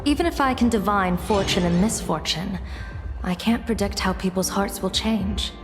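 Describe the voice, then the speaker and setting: An actress voicing a composed, courteous female general in a video game, speaking in a measured, formal way. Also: mid-range voice, long reverb tail, music in the background, natural voice. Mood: composed, admiring. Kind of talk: game voice acting.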